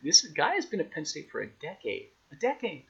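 A man speaking as a radio announcer guest, giving thoughtful, measured answers in a casual conversational interview. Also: on the high side, wildly swooping pitch, gravelly, fairly bright tone, slightly rough, highs cut off.

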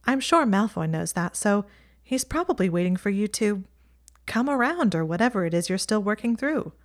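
A woman's voice: mid-range voice, lively intonation, normal speed, pitched above the performer's own voice, natural voice. A woman voicing a chatty, teasing young witch girl who speaks in a lofty, blunt way.

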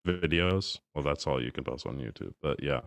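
A young man with a very low, deep speaking voice, talking loosely with lots of fillers. The sound is dry and close, with no room around it. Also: normal speed, gravelly, no room sound. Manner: relaxed, rambling with fillers. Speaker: bass singer in a group. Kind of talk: casual group chat.

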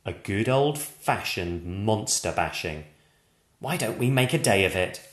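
A man's voice: lowish voice, lively intonation, a little slow. A man voicing bored, grumpy townsfolk in a storybook reading, sighing and grumbling. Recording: roomy echoey room.

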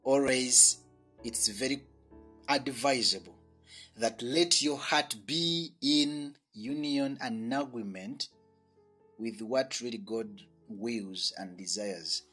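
Host, man with a bright, husky voice, slow earnest preaching delivery. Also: mid-range voice, soft background music. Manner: earnest, slow and emphatic, with swells in volume. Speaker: radio show host. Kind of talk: preaching and prayer, then hosting a chat.

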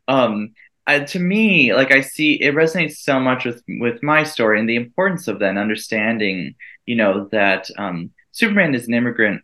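A man's voice: medium pitch, sharp tone. A man in his thirties, a native Italian speaker raised in the United States, talking earnestly and reflectively as an interview guest. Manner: earnest, reflective, laughs lightly. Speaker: guest, event co-director and teacher. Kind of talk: casual interview conversation.

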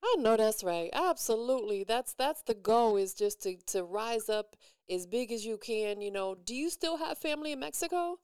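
A woman's voice: on the high side, expressive, normal speed, a little mellow, clear, resonant tone, no echo, clean studio sound. A woman hosting a casual hip-hop radio interview, slangy and encouraging with her guest. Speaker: radio host interviewing a musician.

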